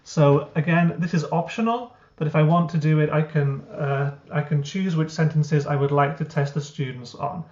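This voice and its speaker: A man, the founder of a language app, walking through his software step by step in an explanatory way, with frequent uh and um. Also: a little high, normal speed, hardly any pauses. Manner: explanatory, many uh and um fillers. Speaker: software founder giving a tutorial. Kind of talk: screen-recorded software walkthrough. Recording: roomy, dull lo-fi.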